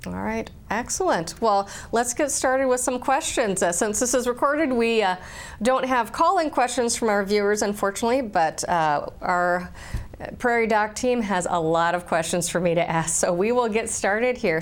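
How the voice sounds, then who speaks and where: A woman hosting a medical interview show, asking questions in a friendly, steady way. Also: on the high side, moderate pace. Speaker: TV health show host.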